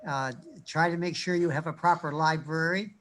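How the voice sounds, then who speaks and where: Guy on a panel talking about teaching, higher voice, lots of uhs, telling stories. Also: steady tone, normal speed, fairly bright tone, slight background noise. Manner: thoughtful, many fillers, storytelling. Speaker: panel speaker, reading researcher. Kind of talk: panel discussion.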